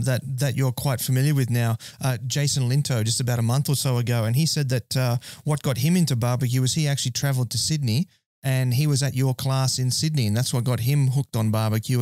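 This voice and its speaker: A man's voice: medium pitch, darkish tone, no echo, clean studio sound. A man hosting a podcast interview, agreeable and casual, with a few fillers. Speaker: podcast host.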